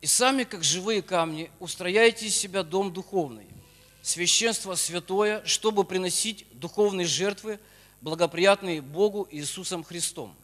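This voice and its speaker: High, bright man preaching. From Ukraine. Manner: exhorting, pausing after short phrases. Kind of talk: sermon delivered in short phrases for an interpreter. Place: church auditorium stage. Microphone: handheld microphone. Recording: long hall reverb from church PA.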